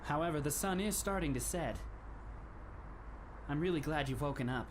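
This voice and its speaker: A man's voice: on the high side, normal speed, background noise, lightly acted. A man playing a polite, composed teenage schoolmate in an anime fan dub, with formal, courteous phrasing. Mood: polite, relieved.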